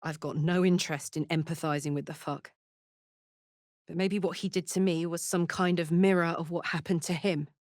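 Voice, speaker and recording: A woman's voice: lowish voice, fairly even intonation, moderate pace, dry recording, lightly acted. Actress as fantasy game warrior woman, brash, hot-tempered, big-hearted, blunt, emotionally open, close dry recording. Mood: grim, bitter. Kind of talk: expressive fantasy video game voice acting.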